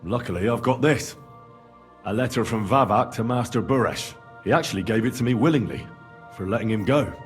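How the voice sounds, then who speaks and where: An actor playing a young, plain-spoken adventurer in a medieval video game, terse and matter-of-fact. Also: male voice, medium pitch, brisk, faint music behind, natural voice. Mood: guarded. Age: young adult. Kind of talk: video game cutscene acting.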